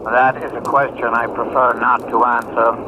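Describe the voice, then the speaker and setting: Man as a monotone, officious telephone operator. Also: mid-range voice, moderate pace, natural voice. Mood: flat, officious. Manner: stiff, formal, deadpan. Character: prim, officious, robotic-sounding afterlife telephone operator. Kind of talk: 1970s radio drama acting. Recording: noisy old radio broadcast.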